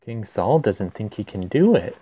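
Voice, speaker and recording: A man's voice: on the low side, very animated, moderate pace, dark tone, husky, muffled recording. A man reading a rhyming children's picture book aloud, adding simple casual explanations for young listeners. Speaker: storyteller.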